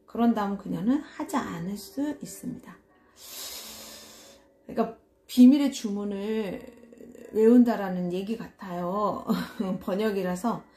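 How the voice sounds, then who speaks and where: Slow-spoken woman tarot reader from Seoul. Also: medium pitch. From Seoul, South Korea. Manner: slow, hesitant, self-deprecating, frequent fillers and soft laughs. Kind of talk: casual solo video talk explaining tarot cards.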